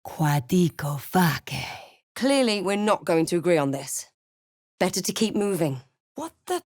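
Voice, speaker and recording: Sardonic fantasy adventurer, close and dry. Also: female voice, on the low side, sing-song, measured pace, no echo. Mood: commanding incantation, then dry, scornful, then wary, curious. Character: snarky, proud adult female fantasy adventurer spellcaster. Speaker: video game voice actor. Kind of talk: scripted game character lines and narration.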